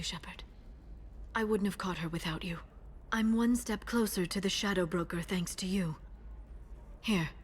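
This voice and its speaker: A woman playing a guarded, driven young alien information broker bent on revenge, in an earnest, measured and intense manner for a video game. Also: mid-range voice, slight background noise, lightly acted. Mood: cool, determined. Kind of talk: cinematic video game voice acting.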